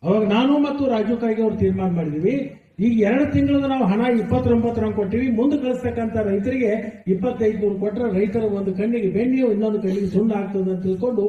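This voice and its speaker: High-pitched North Karnataka man giving a speech. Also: fast talker, mellow. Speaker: speaker at a public meeting. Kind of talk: public speech to farmers. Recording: muffled, echoey public-address sound, distant mic.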